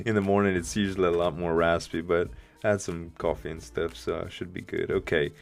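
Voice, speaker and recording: A man with a deep voice, a bit rough from the morning, casually thinking aloud while building in a game he hosts. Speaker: let's play host. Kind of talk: casual gameplay commentary.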